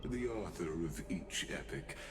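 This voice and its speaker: A man's voice: sits low, lively intonation, a little slow. A man playing a solemn, sinister prophet-like schemer in a video game, theatrical and grandiose. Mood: grave, knowing. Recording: echoing voice over clear music.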